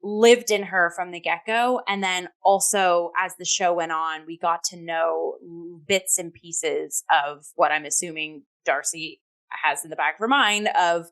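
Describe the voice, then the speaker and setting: A woman co-hosting a review talk show, chatting casually and effusively, often pausing and leaning on "like" as she goes. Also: fairly low pitch, steady tone, a bit bright, low-quality recording. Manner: effusive, halting, heavy use of "like".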